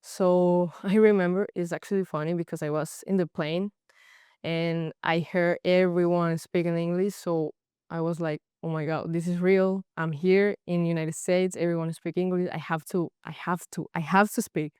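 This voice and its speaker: A young woman from Colombia with a Colombian Spanish accent, a native Spanish speaker speaking halting English with frequent restarts in a casual interview. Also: lowish voice, steady tone, darkish tone, clean tone, no room sound, clean studio sound. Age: young adult. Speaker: guest, college goalkeeper.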